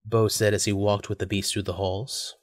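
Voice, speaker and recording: A man's voice: lowish voice, flat delivery, normal speed, no echo, clean studio sound. A man narrating a scripted audio drama as its storyteller.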